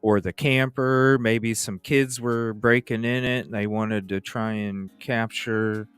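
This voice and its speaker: A man's voice: fairly low pitch, steady tone, slow pace, smooth, dry recording, faint music behind. A man hosting a true-crime stream, talking casually and conversationally in a rambling way, repeating himself for emphasis. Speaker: host of a true-crime livestream. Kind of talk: casual case discussion.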